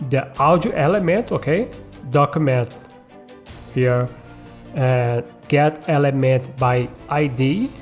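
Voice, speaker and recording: Guy doing a coding tutorial, slow casual step by step, music in the back. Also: mid-range voice. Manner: checks in often with tag questions like okay and all right. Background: background music.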